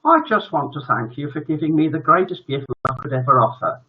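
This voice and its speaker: A man's voice: on the high side, animated, brisk, narrow, tinny audio, pitched above the performer's own voice, close to an everyday voice. A man voicing a pompous, sentimental, overwrought schoolteacher father in a children's story. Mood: adoring.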